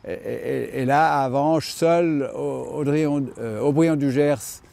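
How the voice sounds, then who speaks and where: An older man who owns and breeds horses, answering interview questions with many pauses and restarts. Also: mid-range voice, vocal fry, mellow. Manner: hesitant, many fillers and restarts. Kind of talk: interview reply.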